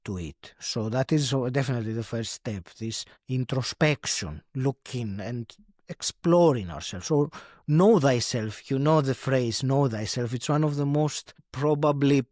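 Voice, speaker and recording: A man talks through his ideas as a podcast guest, pausing often and restarting his sentences. His voice sits in a middle register, and the recording is dry, with no room sound. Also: mid-range voice, darkish tone, no echo. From Greece. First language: Greek. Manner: hesitant, many fillers and restarts, laughs often. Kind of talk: reflective conversational interview.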